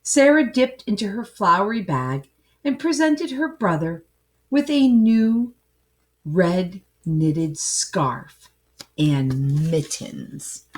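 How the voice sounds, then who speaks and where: A woman reading a children's story aloud with dramatic, emphatic phrasing and pauses. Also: on the low side, very animated, darkish tone. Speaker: storybook reader. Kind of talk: expressive children's story narration, with asides to listeners.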